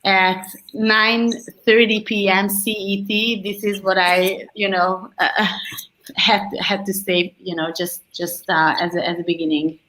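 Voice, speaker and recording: A woman's voice: medium pitch, little pitch movement, unhurried, bright, forceful tone, low-quality recording. A woman from Hungary, a documentary filmmaker chatting loosely and searching for words as she answers on a video call. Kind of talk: panel Q&A answer.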